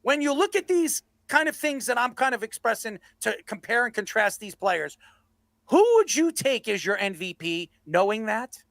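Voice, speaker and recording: A man's voice: sits high, very animated, normal speed, gravelly, fairly bright tone, no echo. A man hosting a sports debate show, blunt and combative, given to ranting and swearing.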